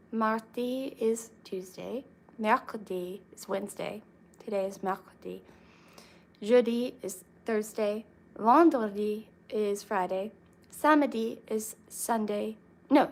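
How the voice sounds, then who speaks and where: A young woman chatting casually with her viewers, relaxed and reading comments aloud. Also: medium pitch, takes it slowly. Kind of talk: casual chat with live chat.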